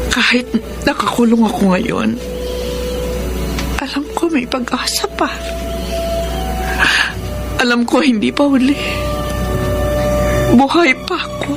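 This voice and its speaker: Jailed mother role, Filipino, middle-aged, highish pitch, hopeful and contrite, heartfelt radio drama delivery over music. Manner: halting, heartfelt phrasing.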